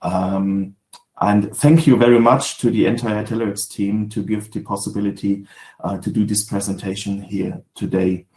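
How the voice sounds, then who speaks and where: A man with a German accent gives a webinar talk from his home office on a video call, in halting English with many fillers and restarts. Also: fairly low pitch, fairly even intonation, a little mellow, roomy sound, poor audio. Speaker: guest speaker, sales manager. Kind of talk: webinar presentation.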